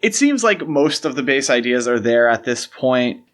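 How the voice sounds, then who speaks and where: A male podcast co-host talks through films in a casual, explanatory manner. His voice sits at an average pitch, and his phrasing is loose and conversational, peppered with filler words. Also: sing-song, moderate pace. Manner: conversational, explanatory, uses "like" and "you know" often. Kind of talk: casual film discussion podcast.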